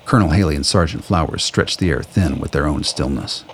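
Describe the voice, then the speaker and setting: A man's voice: a bass voice, fairly quick. A man delivering scripted, dramatic narration for an audio play. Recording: noise, ambient sound effects behind.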